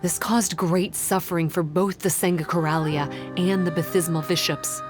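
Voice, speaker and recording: A woman playing a composed, analytical guide in a fantasy game, speaking in a measured, formal, explanatory way. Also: lowish voice, steady tone, music in the background, natural voice. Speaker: game character giving quest briefing. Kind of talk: scripted game dialogue.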